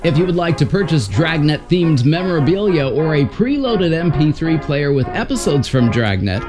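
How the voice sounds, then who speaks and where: Lively higher-pitched male narrator. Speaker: host. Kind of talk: scripted narration. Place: voice-over with music behind.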